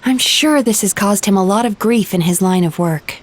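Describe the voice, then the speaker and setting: A woman voicing a composed, gentle young dream therapist who explains things calmly in an anime-style video game. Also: mid-range voice, normal speed, natural voice. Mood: serious, explanatory.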